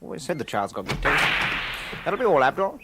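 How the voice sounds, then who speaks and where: An actor playing an eccentric upper-class gentleman adventurer, jovial and by turns commanding and offhand, in a radio drama. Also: a little high, wildly swooping pitch, brisk, heavy background noise. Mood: breezy, unruffled.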